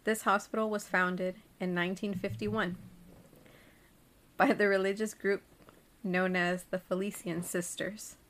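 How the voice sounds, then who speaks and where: A woman co-hosting a podcast, telling a story in a loose, giggly way. Her voice sits at a middle pitch. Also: level tone, unhurried, pauses often, fairly bright tone. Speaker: podcast co-host. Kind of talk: casual storytelling chat.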